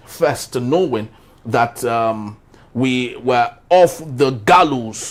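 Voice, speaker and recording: Nigerian male TV host, medium pitch. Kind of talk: moderating a current affairs discussion.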